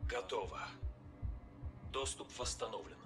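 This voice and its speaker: Male actor as cocky genius hacker, average pitch, focused, game drama, clear music behind. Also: a little slow, close to an everyday voice. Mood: cocky, tense. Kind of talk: dubbed game audio drama acting.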